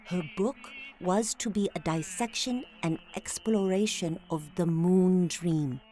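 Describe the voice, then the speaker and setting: A woman playing a poised, probing client in a noir audio drama, speaking formally with measured, precise phrasing. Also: fairly low pitch, warm tone, faint music behind, natural voice. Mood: measured, earnest.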